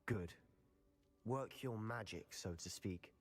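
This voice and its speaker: A man's voice: lowish voice, slow pace, creaky, faint music behind, exaggerated voice. A man playing an intense, persuasive teenage boy wizard in a scripted video game, impatient and determined. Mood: scheming. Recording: long hall reverb.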